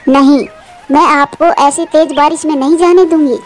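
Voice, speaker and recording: High-voiced anxious mother bird, played by a woman. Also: steady tone, moderate pace, faint music behind, lightly acted. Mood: pleading. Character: anxious, devoted mother bird, tender and self-sacrificing. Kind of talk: Hindi moral cartoon dubbing.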